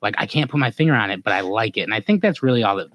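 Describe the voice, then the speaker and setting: A man's voice: lowish voice, expressive, very fast, a bit bright. A man with an American Midwestern accent co-hosting a podcast, chatting informally and effusively with some swearing. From Indiana, US. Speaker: podcast co-host. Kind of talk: casual podcast chat.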